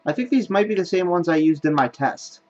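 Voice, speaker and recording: A man's voice: high-pitched, expressive, brisk, darkish tone, muffled recording. A man hosting a gaming video, thinking aloud in casual commentary as he plays. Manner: thinks aloud while playing. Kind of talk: casual let's play commentary.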